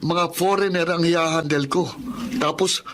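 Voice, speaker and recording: A man's voice: a little high, fairly even intonation, unhurried, a crowd in the background, natural voice. A man playing a modest, easygoing young office worker short on confidence, with a Filipino accent, in a radio drama. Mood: easygoing, evasive. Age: young adult. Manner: casual, self-effacing.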